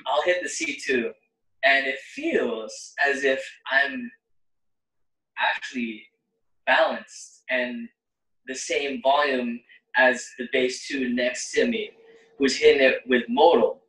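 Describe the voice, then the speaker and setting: A man's voice: medium pitch, takes it slowly, pushed and bright, far from the mic. A young man chatting casually as a host, recorded in a roomy space away from the mic. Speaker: host and choir bass singer. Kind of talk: casual chat.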